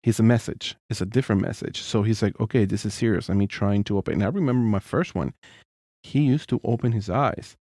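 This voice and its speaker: A man with a deep voice co-hosting a casual parenting chat, earnest and prone to repeating his points. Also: fast talker, warm tone, no room sound, studio quality. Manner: earnest, repeats himself, non-native phrasing. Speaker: co-host.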